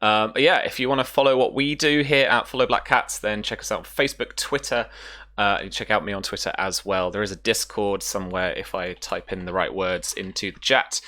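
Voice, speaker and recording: Bright-voiced, low-pitched game master. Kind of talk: casual livestream hosting.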